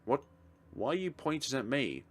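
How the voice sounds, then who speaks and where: A bewildered young detective played by a man reading a game aloud fires out urgent questions and defiant retorts. The delivery is exclamatory and swings widely in pitch. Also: sing-song, normal speed, soft background music, natural voice. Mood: agitated, defiant.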